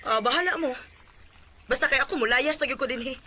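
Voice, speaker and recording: A woman's voice: high-pitched, fast, sharp tone. A woman playing a fed-up, hot-tempered wife and mother in a Bisaya radio drama, with a Cebuano Filipino accent. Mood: fed-up, curt. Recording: noisy radio drama with ambient sounds.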